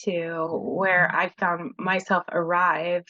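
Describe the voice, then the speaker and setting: A woman's voice: lowish voice, flat delivery, takes it slowly, sharp tone, dull audio. A woman speaking hesitantly and reflectively, searching for words over a video call. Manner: searching, hesitant, many fillers. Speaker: conversation participant. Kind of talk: personal reflective talk.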